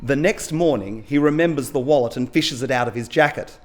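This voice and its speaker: A middle-aged man reading short fiction aloud on stage, expressive and dramatic in his storytelling. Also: mid-range voice, brisk. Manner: dramatic, acts out dialogue. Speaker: story reader at a live literary event. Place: stage at a small indoor event venue. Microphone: lectern gooseneck microphone.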